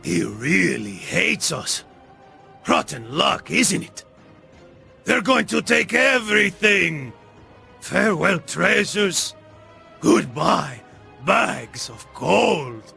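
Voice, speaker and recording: A greedy, sardonic rogue with a Spanish accent for a game cutscene. The voice is very creaky and moves around in pitch, always flippant and ready with a wisecrack. Music plays clearly underneath. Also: male voice, sing-song, takes it slowly, long pauses, sharp tone, full character voice. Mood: mournful, sardonic. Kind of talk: dramatic video game acting.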